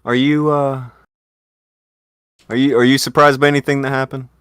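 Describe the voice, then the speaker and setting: A man hosting a casual online talk show, joking and swearing, with bursts of loud excitement. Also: medium pitch, normal speed, clear, resonant tone, no echo. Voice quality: lively, swings into loud bursts. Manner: profane, jokey, talks with laughs. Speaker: podcast host. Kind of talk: casual chat.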